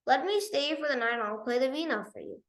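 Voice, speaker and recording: A woman voicing a devoted, determined young king in a storybook, speaking in an earnest, gentle manner. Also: high voice, lively intonation, fast talker.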